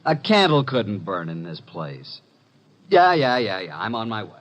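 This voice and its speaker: A man's voice: on the low side, huge pitch swings, a little slow. An actor playing a wisecracking male assistant in a 1950s radio drama, wry and breezy. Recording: muffled old radio recording with faint noise.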